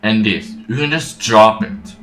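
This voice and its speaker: A man with a lowish voice comments casually on a game. He talks slowly in dry, muttered asides, a little away from the mic in a roomy space. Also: pushed and bright, slight background noise. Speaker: game streamer. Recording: distant roomy mic, faint game music.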